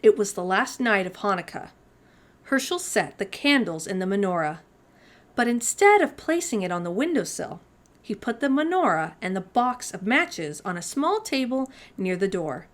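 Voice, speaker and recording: A woman's voice: mid-range voice, sing-song, normal speed. A woman hosting children's storytime, reading a storybook aloud with dramatic, suspenseful phrasing.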